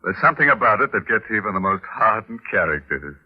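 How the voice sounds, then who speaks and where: Man playing a deep, husky, ghoulish radio horror host. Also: big pitch swings, fast. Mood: jovial, macabre. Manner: sardonic, theatrical, macabre humour. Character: ghoulish male horror host, gleefully morbid and mocking. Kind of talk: 1940s radio horror hosting. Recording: muffled vintage radio recording.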